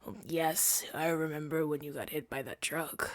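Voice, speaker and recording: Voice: medium pitch, steady tone, normal speed, dry recording, natural voice. A woman playing a flustered, self-righteous hero in a scripted ASMR audio drama, with a theatrical, stammering delivery. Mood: bewildered. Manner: stammering, indignant, dramatic delivery with breathy whispers.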